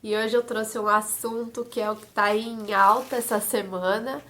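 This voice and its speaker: A woman's voice: medium pitch, fairly even intonation, normal speed, bright, forceful tone. A woman with a congested voice teaching a live online class on eyebrow technique, explaining step by step and checking in with her viewers. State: congested, voice may crack. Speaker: eyebrow micropigmentation instructor. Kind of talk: live-stream class with chat greetings.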